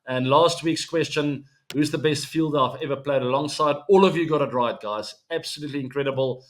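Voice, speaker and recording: A man's voice: fairly high pitch, a little fast. A man in his forties with an Afrikaans-accented South African English voice, hosting a casual sports show and chatting to his viewers with lots of fillers. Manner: relaxed, chatty, many fillers, addresses fans as guys. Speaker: show host.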